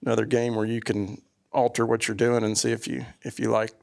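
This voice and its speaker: Man, gravelly voice, casual panel chat, rambling, easygoing. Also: medium pitch, little pitch movement, a little fast, a little mellow. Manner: rambling, restarts often, easygoing. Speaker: panel guest.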